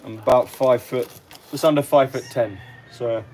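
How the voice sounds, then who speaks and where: A man's voice: mid-range voice, steady tone, moderate pace, a little mellow. A young man with an English accent from around London, chatting casually and easygoing on a livestream as he answers comments. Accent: English, London area. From near London, England. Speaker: livestream host. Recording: clearly noisy live stream with ambient sound.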